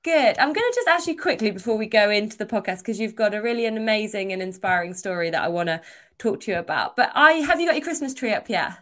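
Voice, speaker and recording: A young woman with a mid-range voice hosts a podcast with upbeat enthusiasm. She introduces her guest warmly, then slips into easy, friendly chat. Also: mid-range voice, lively intonation, quick pace. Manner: upbeat and enthusiastic, warm interviewer. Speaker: podcast host. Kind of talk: podcast hosting and casual chat.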